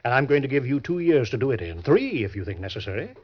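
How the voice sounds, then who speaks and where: Polished, avuncular schemer of older years, mid-range voice, smooth formal phrasing, dulled vintage radio recording. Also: male voice, medium pitch, sing-song, fast talker, dull audio. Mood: smoothly menacing. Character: suave, calculating older male con man uncle. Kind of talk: old-time radio crime drama acting.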